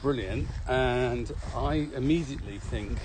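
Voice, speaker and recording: A man's voice: mid-range voice, a little slow. Inquisitive British man, some ambient noise. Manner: inquisitive, echoes and sums up answers. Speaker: podcast co-host, asking questions. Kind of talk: casual conversational interviewing.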